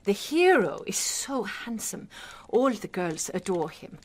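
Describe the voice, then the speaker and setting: A woman's voice: medium pitch, sing-song, a little slow. An actress in a period radio drama playing an anxious, kindly eighteenth-century farmer's wife, polite and earnest in her speech. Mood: earnest, eager.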